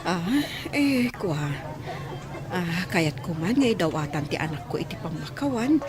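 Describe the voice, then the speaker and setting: A woman's voice: fairly low pitch, very animated, a little slow, music in the background. A woman playing an ashamed, apologetic middle-aged mother in an audio drama, hesitant as she talks. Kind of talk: radio-style audio drama acting. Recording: crowd noise behind.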